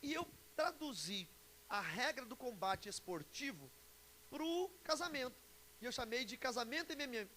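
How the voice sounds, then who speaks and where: A Brazilian pastor in his forties speaks in a notably high male voice. He teaches a congregation informally, joking and checking in with questions. A faint hiss runs under the live recording. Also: animated, normal speed, bright, forceful tone, rough recording. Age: 40s. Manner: chatty, joking, asks the audience questions. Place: church conference before an audience. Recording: live talk with background hiss.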